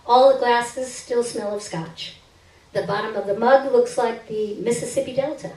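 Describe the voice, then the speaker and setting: A woman giving an expressive, theatrical reading of a first-person short story on a stage. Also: fairly high pitch, normal speed. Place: live stage reading indoors. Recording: roomy stage, distant microphone.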